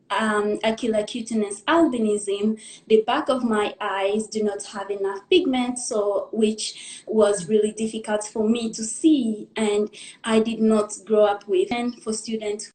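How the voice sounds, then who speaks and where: Young woman, from Congo, earnest halting storytelling, fairly high voice, roomy echoey sound. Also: darkish tone. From Democratic Republic of the Congo. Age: young adult. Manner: earnest, halting, pauses to gather thoughts. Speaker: interview guest. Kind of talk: personal storytelling in a live interview.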